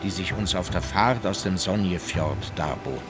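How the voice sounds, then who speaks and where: An actor playing a sober naval memoirist, giving measured, factual narration in a historical audio drama. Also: male voice, low voice, music in the background, lightly acted. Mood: reflective.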